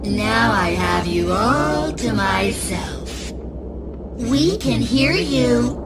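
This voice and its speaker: A woman's voice: fairly high pitch, expressive, drawn-out words, music in the background. A woman voicing a broken female fox animatronic in a video game, theatrical and sweetly deranged, drawing out her menacing words. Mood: mock-sweet menace. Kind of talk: video game character voice lines.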